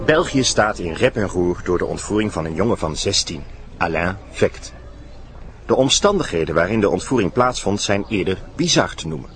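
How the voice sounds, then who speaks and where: A man's voice: low voice, expressive, normal speed, music in the background. A man playing an eager, formal television reporter presenting on the scene in an audio drama.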